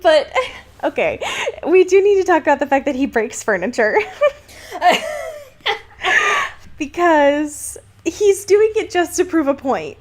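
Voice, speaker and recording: A woman with a fairly high voice co-hosts a casual podcast about a book. She retells scenes in an amused, conversational way, often dropping into quoted dialogue and padding it with small filler words. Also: animated, slow, pauses often, darkish tone. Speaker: podcast co-host. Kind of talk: casual book discussion chat.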